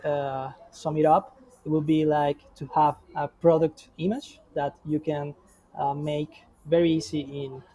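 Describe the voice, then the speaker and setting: Male guest expert, fairly high pitch but mellow, unhurried and halting, restarts a lot. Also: low-quality recording. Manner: halting, frequent restarts and fillers. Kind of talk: reviewing examples in conversation.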